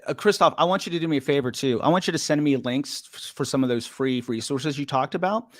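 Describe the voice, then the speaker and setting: A man's voice: mid-range voice, fast, dry recording. Older man, podcast host, upbeat chatty wrap-up, dry close sound. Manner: upbeat, chatty, self-deprecating. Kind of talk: live-stream podcast wrap-up.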